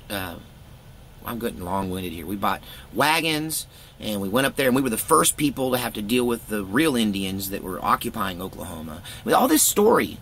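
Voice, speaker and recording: Middle-aged American man, gravelly voice, casual storyteller, candid and folksy, rambling, faint background noise. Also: mid-range voice, a bit bright. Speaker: vlogger telling a personal story. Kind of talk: casual first-person storytelling.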